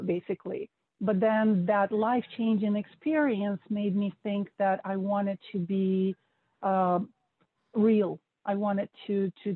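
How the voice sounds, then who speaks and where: A woman who learned English as an adult, a guest answering interview questions in a relaxed way, laughing easily and pausing to think. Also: medium pitch, no room sound. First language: not English. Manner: relaxed, laughing, thoughtful pauses. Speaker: podcast guest, investor. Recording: dry thin phone-like audio.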